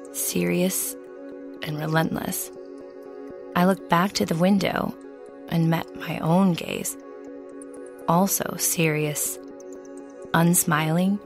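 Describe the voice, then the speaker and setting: Slow, creaky-voiced woman narrating dark fiction. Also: lowish voice. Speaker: story narrator. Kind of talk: scripted first-person dark fiction narration.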